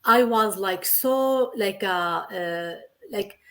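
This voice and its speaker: Earnest Syrian-born woman, halting English. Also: medium pitch, fairly bright tone. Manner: earnest, repeats herself, simple non-native English. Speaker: guest. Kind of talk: casual interview.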